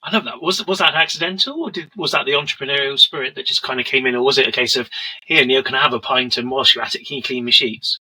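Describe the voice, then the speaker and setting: Husky man, jokey podcast host. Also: mid-range voice, quick pace, bright, forceful tone, rough recording. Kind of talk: casual interviewing, bantering.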